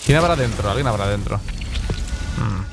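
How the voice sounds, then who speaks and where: Fast-talking gamer, a man. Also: fairly low pitch, expressive, creaky. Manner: colloquial, joking. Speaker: gameplay streamer. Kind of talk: casual reaction commentary. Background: game music.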